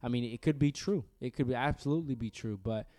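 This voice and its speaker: A man from New York co-hosting a podcast, talking in a relaxed, conversational way and hedging his opinions. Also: mid-range voice, quick pace. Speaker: podcast co-host. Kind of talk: casual podcast discussion. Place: studio room across from co-host.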